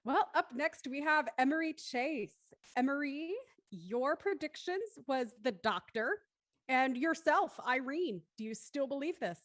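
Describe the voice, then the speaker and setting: A woman's voice: high voice, expressive, measured pace, a bit bright, dry recording. A woman hosting a live stream and introducing guests in a chatty presenting style. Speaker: host.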